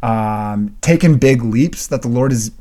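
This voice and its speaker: A young man co-hosting a podcast, talking in an earnest, casual way and clearing his throat now and then. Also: medium pitch, measured pace, mellow. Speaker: podcast co-host and writer. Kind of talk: casual chat.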